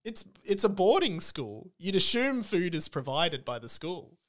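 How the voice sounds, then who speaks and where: A man's voice: sits high, sing-song, measured pace, fairly bright tone. A man with an Australian accent in casual, comedic conversation as a podcast co-host. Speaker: podcast co-host and author of the book.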